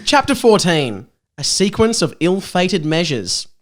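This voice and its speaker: A man's voice: sits high, very animated, slow. A young man as a podcast guest, chatting casually and comedically with teasing banter.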